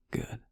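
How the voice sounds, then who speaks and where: A man voicing a gruff, caring, sardonic vampire healer in an intimate ASMR roleplay, speaking in a breathy, gravelly near-whisper. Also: darkish tone, no room sound, studio quality.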